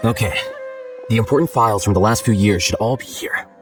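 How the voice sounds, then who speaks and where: Man voicing a brisk, low-voiced, composed young scholar. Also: music in the background, lightly acted. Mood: calm, detached. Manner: matter-of-fact, measured, articulate. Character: calm, composed young male scholar, aloof and rational. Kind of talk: scripted video game dialogue.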